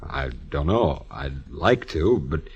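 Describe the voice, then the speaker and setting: An actor playing a polite, obliging bartender in a vintage radio drama, speaking in a courteous, mild way. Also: male voice, a bass voice, expressive, takes it slowly, slightly rough, full character voice. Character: polite, obliging male bartender, new on the job. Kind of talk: 1940s radio drama acting. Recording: dull vintage radio recording.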